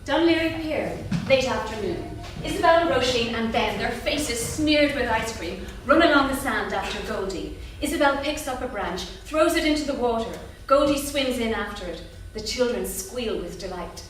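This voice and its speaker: A woman's voice: a little high, expressive, moderate pace, few pauses. A woman reading out stage directions as scripted narration. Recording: echoey theatre from far off, clear noise.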